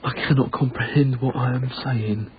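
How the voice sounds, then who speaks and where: A British actor playing an earnest, emotional young Victorian working man in a radio drama, with halting, formal phrasing. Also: mid-range voice, fairly even intonation, close to an everyday voice. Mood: earnest, moved. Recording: narrow tinny band, faint background noise.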